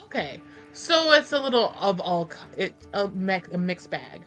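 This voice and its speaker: A woman's voice: medium pitch, big pitch swings, moderate pace, fairly bright tone, faint music behind. A woman playing a tabletop roleplaying game, chatting and narrating her character's actions in a playful, slangy, casual way.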